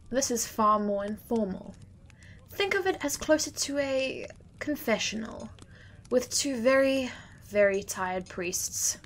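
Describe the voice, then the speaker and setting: An actress playing a weary, scornful tusked judge of the dead in an audio drama, speaking theatrically. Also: female voice, mid-range voice, fairly bright tone. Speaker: afterlife judge.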